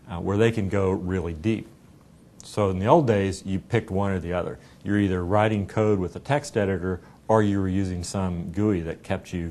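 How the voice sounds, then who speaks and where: A middle-aged American man giving a conversational, explanatory software product demonstration in an informal way. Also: low voice. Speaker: software company representative giving a product demo. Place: indoor meeting room. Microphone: lapel mic.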